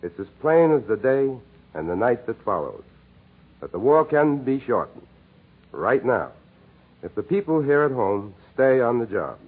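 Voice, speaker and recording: A man's voice: medium pitch, very animated, husky. Emphatic American man, muffled 1940s radio address. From United States. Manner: earnest, emphatic, measured phrasing. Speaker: war correspondent. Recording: muffled, narrow-band 1940s radio broadcast.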